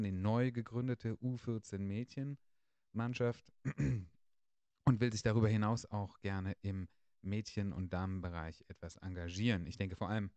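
A man hosting a casual podcast, relaxed and chatty with frequent fillers. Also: on the low side, smooth, dry recording, dull audio. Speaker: podcast host.

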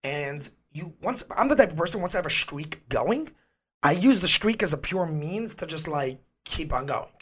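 Hoarse man in casual chat. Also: a little high, expressive, normal speed, fairly bright tone. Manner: rambling, restarts often. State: hoarse, losing his voice. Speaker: podcast co-host.

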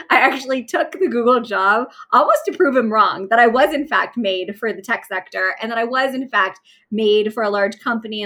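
A woman in her thirties, born in Pakistan, recounting her career as an interview guest in a candid, conversational way with frequent "um"s. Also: a little high. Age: 30s. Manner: warm, candid, with light laughs and fillers. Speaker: interview guest, manager trainer.

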